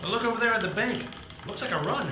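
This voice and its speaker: Small-town cabbie, male actor, friendly and nosy, high voice, radio drama, roomy sound with background noise. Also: quick pace, close to an everyday voice. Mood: curious. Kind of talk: live 1940s radio play acting. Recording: roomy, noisy live stage recording.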